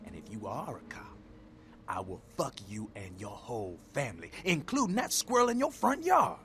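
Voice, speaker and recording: A man's voice: medium pitch, wildly swooping pitch, moderate pace, slight background noise. An actor playing a brash, foul-mouthed male thug in a video game, with a cocky, slangy, threatening manner. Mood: cocky, menacing.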